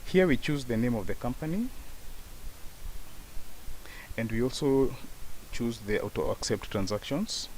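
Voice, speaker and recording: A man narrating a software tutorial as an instructor, explaining each step in turn. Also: mid-range voice, animated, measured pace, pauses often, poor audio. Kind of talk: software tutorial screen walkthrough. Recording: hissy voice-over with faint background noise.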